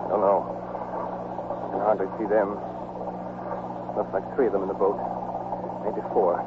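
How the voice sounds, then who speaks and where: An actor playing a steady, devoted American man in a plain, understated manner, in 1940s radio drama style. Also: fairly low pitch, unhurried, heavy background noise, lightly acted. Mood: tense, hushed. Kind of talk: 1940s radio drama acting and first-person narration. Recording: muffled 1940s radio recording with music.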